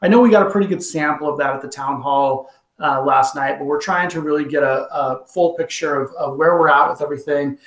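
A man's voice: a little high, fairly quick, a bit bright. A middle-aged man in his forties speaking as a school principal at an online town hall, talking conversationally with frequent ums and restarts over a laptop webcam at home. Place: home room with wall clock. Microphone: laptop webcam on video call. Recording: echoey room on video call.

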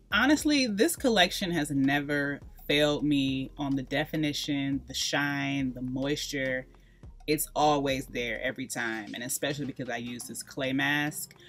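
A woman in her thirties with a low, deep-set voice talks straight to camera in a small bright room, coaching viewers through her hair routine. She leans on emphatic repeats and friendly pleas, with faint music under her voice. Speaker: natural hair vlogger. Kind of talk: casual hair tutorial talk.

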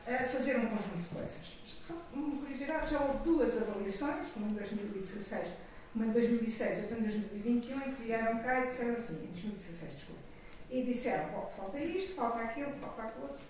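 Her voice arrives from far off in a very reverberant auditorium, with faint noise around it. She is an older woman with a European Portuguese accent, addressing a conference as an organisation's president. She speaks off the cuff, halting and rewording as she goes. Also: mid-range voice, a little mellow. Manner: halting, digressive, self-correcting. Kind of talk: semi-improvised conference speech. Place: auditorium stage. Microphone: podium microphone, recorded from the audience. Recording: echoey hall from far off, noisy.

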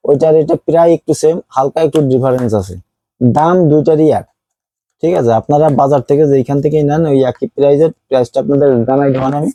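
A man's voice: medium pitch, mellow, no room sound, low-quality recording. A man with a Bangladeshi accent giving a casual phone unboxing review as a seller, explaining things and repeating his points.

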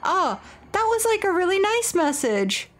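A woman giving playful, expressive let's play commentary on a game, excitable and theatrical when reading aloud. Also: extremely high pitch, a little slow, soft background music. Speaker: game streamer and host.

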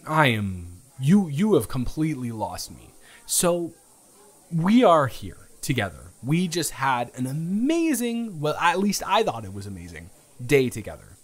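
A young man playing an earnest, flustered romantic lead in an improvised audio roleplay, speaking in a halting, emphatic, stammering way. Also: mid-range voice. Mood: defensive, uneasy.

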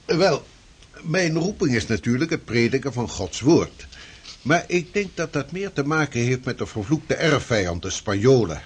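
An actor playing a hearty, canny minister and seafarer in a period radio drama, with expressive, rather pompous old-fashioned phrasing. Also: male voice, mid-range voice, moderate pace, a little hiss or hum, natural voice.

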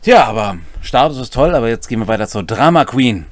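Middle-aged man, lively intonation. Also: mid-range voice, quick pace, no echo. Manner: colloquial, wry commentary. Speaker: host of a tabletop role-playing rules series. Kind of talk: casual explanation of game rules with asides. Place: small furnished room at home, indoors.

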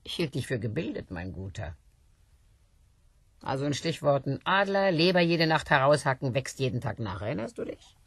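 An actress playing a rich, hard-drinking older widow in a radio play, sardonic and theatrical, going from murmurs to outbursts. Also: female voice, a bass voice, big pitch swings, moderate pace, husky, no room sound, full character voice.

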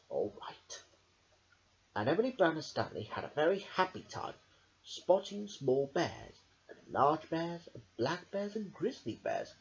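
A storyteller reading a children's picture book aloud dramatically, stumbling over words now and then. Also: medium pitch. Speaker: storybook reader. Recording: dull lo-fi camera audio.

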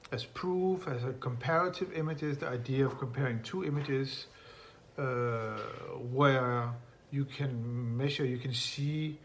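Middle-aged man, halting casual talk. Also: medium pitch, slight background noise. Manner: casual, halting, many fillers, non-native phrasing. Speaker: photographer presenting his project. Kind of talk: recorded talk to camera. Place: bright home room indoors.

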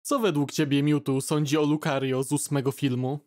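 Plain mid-pitched question reader. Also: flat delivery, brisk, a little mellow. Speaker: narrator reading fan questions. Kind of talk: fan comic dub.

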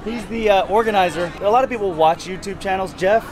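A man chatting excitedly to camera at an outdoor boat show. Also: sits high, a little fast. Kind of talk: casual vlog chat. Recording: music and crowd behind.

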